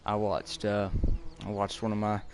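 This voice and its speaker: A teenage boy with a fairly low voice talks casually to his viewers over a game, rambling and stumbling over his first words. Faint game noise hums quietly behind him. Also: completely flat delivery, normal speed. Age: teens. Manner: rambling, repeats words when starting sentences. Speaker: gaming video host. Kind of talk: casual gameplay chat with viewers.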